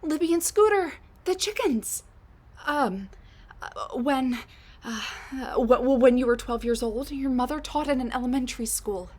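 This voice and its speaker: A woman voicing a scatterbrained older woman scientist who has traveled back in time to meet her husband. She stammers and restarts, full of fillers, and sometimes drops to a hushed mutter. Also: sits high, lively intonation, measured pace. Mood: flustered, pleading. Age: middle-aged. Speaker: audio roleplay performer. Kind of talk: audio roleplay drama.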